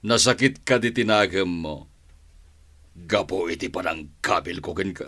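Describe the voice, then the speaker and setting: A man's voice: deep voice, unhurried, pushed and bright, exaggerated voice. An actor playing a domineering, villainous father and crooked gang boss who taunts and scolds in a radio drama. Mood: taunting, menacing. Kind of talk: Ilocano radio drama acting.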